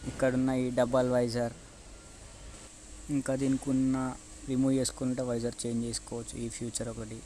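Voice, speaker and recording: A male vlogger with a Telangana Telugu accent talks through a casual unboxing review. His pitch is mid-range and fairly flat, and he explains in halting pieces sprinkled with English. There is some noise and ambience behind him. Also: poor audio. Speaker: motovlogger presenting a product review.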